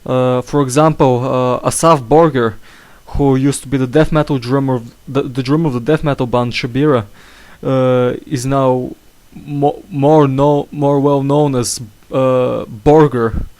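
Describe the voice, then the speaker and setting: A young man hosts a casual chat in a middle-pitched voice. He talks hesitantly, stumbling over his words and filling the gaps with "uh". A slight cough hangs on his voice. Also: steady tone, a little slow, few pauses, darkish tone. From England. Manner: hesitant, full of fillers and restarts. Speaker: podcast host.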